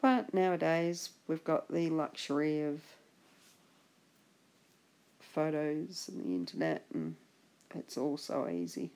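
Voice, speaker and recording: A woman giving a relaxed drawing tutorial as a voice-over, husky from a chest infection, chatty and encouraging. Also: low-pitched, slow pace, long pauses. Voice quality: husky, gravelly. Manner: chatty, encouraging, trails off and restarts. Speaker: art instructor.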